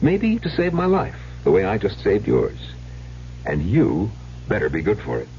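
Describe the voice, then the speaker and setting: A middle-aged, well-educated husband weighed down by the past in an old radio play. His deep voice is confessional and theatrical, swooping dramatically in pitch. The recording is muffled and slightly noisy. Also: wildly swooping pitch, moderate pace. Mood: grave, reminiscing.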